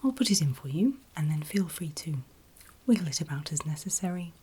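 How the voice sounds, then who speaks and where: A woman whispering very gently and reassuringly in a breathy, unvoiced ASMR style, as a medical examiner in a roleplay, close to the microphone. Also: deep voice, expressive, brisk, a little hiss or hum. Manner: gentle, reassuring, checking in often. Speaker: ASMR performer playing an ear examiner.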